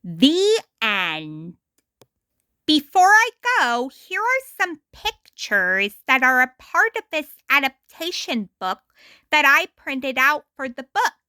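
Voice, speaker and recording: A woman reading a story aloud as an amateur storyteller, deliberate and expressive. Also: sits high, no room sound, clean studio sound. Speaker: amateur storyteller reading their own adaptation. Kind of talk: storybook read-aloud narration.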